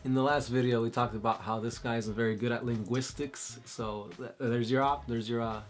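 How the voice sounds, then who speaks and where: A man's voice: mid-range voice, fairly even intonation, a little fast. A man co-hosting a casual chat and reading viewer questions, easygoing and thinking aloud with uhs.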